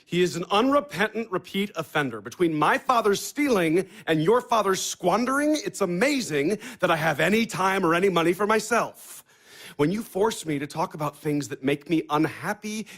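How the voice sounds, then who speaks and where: A man's voice: sits high, fairly bright tone, heavily acted. An actor playing a bitter, defensive and sarcastic man in a naturalistic audio drama, talking bluntly and combatively with plenty of swearing. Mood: curt, frustrated.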